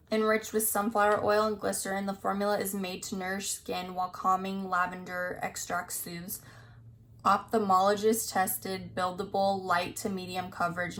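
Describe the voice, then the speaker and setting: A young woman vlogging about makeup in a casual, rambling way, her voice slightly scratchy and worn. She leans on "like" constantly and switches between reading product descriptions and offering her own opinions. Her pitch sits in an ordinary middle range. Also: a bit bright. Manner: rambling, full of "like", reads product blurbs aloud. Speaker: beauty vlogger. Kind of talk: casual chat reviewing new makeup releases.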